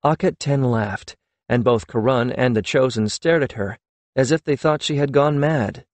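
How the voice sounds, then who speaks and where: A man's voice: mid-range voice, moderate pace, dry recording. A man reading a fantasy novel aloud as an audiobook narrator.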